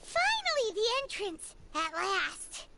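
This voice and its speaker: A woman voicing a tiny, plucky, childlike fairy companion in a video game, shrill and excitable. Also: takes it slowly, creaky, heavy background noise, exaggerated voice. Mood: relieved. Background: loud music.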